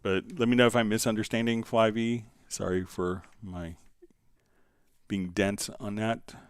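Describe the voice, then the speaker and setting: A man hosting a casual live software tutorial, explaining step by step in a conversational way as he answers viewers. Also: on the low side, flat delivery, measured pace, smooth, no room sound, clean studio sound. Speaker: livestream host and software instructor.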